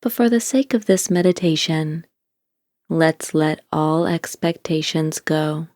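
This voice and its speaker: Female guided-meditation narrator, lowish relaxed voice, calm deliberate delivery, very dry close recording. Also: no echo. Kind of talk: scripted guided meditation, slow gentle instruction.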